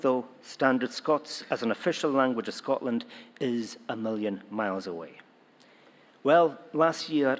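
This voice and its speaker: A man delivering a formal, stately laureation address at a university ceremony. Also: medium pitch. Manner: stately and declamatory, reading a prepared tribute. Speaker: university orator presenting an honorary graduate. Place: large ceremonial hall. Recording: long reverb of a large hall.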